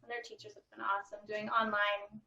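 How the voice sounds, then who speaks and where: A woman's voice: medium pitch, flat delivery, quick pace, sharp tone, lo-fi sound. A woman who works as an actor, chatting casually on a remote call from a roomy space. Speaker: actor on a virtual table read. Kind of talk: casual chat. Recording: roomy, distant call microphone.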